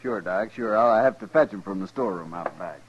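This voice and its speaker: An actor playing a stern, indignant storekeeper in a 1950s radio western. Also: male voice, on the low side, brisk, highs cut off, slight background noise, close to an everyday voice. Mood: obliging.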